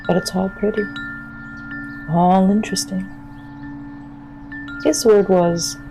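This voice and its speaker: Gravelly, mellow, wary wild woman. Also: medium pitch, rough recording. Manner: reflective, hushed, halting. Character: wild, wary young woman from a dangerous land. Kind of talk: intimate urban fantasy audio drama monologue.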